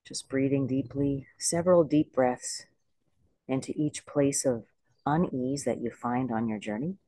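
Meditation teacher guiding slowly, dry close sound. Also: medium pitch, warm tone, dry recording, rough recording. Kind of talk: guided body scan meditation.

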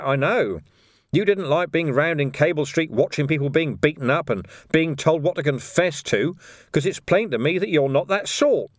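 A man acts out a wily male police commander in an audiobook. His pitch swoops with mock friendliness and sardonic needling, as if toying with a suspect. The sound is close and dry. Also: dry recording, close to an everyday voice.

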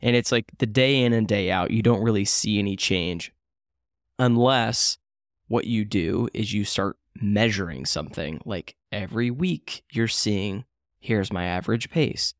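A man in his late twenties chats casually as a podcast co-host. His voice sits a little low, and he rambles with fillers and restarts, often poking fun at himself. The sound is dry and close. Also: a little slow, no echo. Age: 20s. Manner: rambling, self-deprecating, frequent fillers and restarts. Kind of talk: casual chat.

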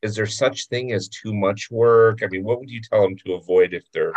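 A man's voice: lowish voice, normal speed, dull audio. A man hosting an interview and asking loose, friendly questions. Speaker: interview host. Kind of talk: casual interview.

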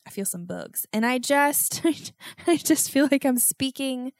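A young woman hosting a solo podcast, talking in a rambling, self-mocking way with loud outbursts, and sounding congested. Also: sits high, expressive, measured pace, dry recording, studio quality. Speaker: podcast host.

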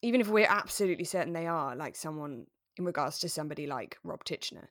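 A woman chatting casually as co-host of a relaxed podcast. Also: sits low, fast, no echo, studio quality. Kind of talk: relaxed casual chat about a radio drama.

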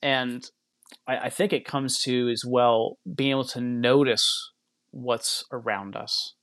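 A man's voice: mid-range voice, fairly even intonation, slow, a bit bright, no echo, clean studio sound. A man speaking as a podcast guest, thinking aloud and explaining in a reflective, conversational way.

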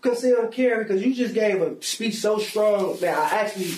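A man's voice: sits high, expressive, normal speed. A man co-hosting a podcast, teasing and joking with the guests. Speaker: podcast co-host. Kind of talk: casual podcast chat. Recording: distant mic, roomy, loud crowd noise.

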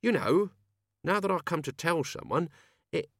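Guy doing a fretful grumbly servant, pitched up, pleading with his master, storybook. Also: lively intonation, normal speed, fairly bright tone, no room sound, studio quality. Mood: uneasy. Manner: deferential, pleading, exasperated. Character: Albert, anxious, grumbling male servant of Death.

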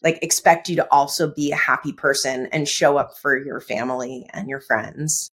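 Low-voiced woman hosting a podcast. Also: normal speed. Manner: chatty, animated, laughs easily. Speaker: podcast host. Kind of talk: casual interview chat.

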